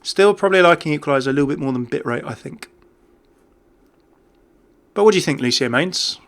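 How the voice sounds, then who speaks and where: A man's voice: a little high, lively intonation, fairly quick. A man giving casual, off-the-cuff game commentary as a YouTuber, chatting and thinking aloud as he goes. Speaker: gaming YouTuber.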